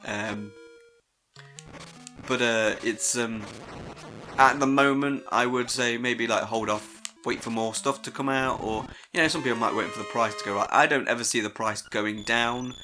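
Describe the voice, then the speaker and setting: A man hosting a casual, unscripted gaming audio log, rambling with hesitant but enthusiastic, informal, sweary delivery. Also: lowish voice.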